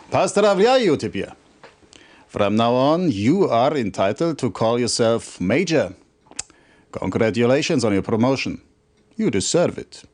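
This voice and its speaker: Game cutscene role: a stern military commander, formal and authoritative, measured delivery with very lively intonation. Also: male voice, medium pitch. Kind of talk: video game cutscene acting, scripted briefing. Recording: compressed old game video audio.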